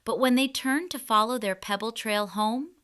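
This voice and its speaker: A woman narrating a scripted fairy tale for children in an expressive, deliberate storytelling manner. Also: a little high, clear, resonant tone.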